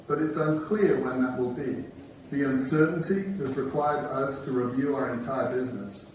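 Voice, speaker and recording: A man, a company executive, giving a measured, reassuring prepared video address. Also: mid-range voice, a little mellow, slight background noise. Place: video played on a theater screen. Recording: echoey hall playback from far off.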